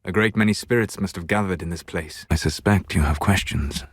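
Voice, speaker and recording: A male actor playing a learned, grave and dryly scornful elven mage in a video game, speaking in measured, formal, articulate lines. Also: low voice, dry recording, studio quality. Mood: calm, reflective. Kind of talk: dramatic game dialogue lines.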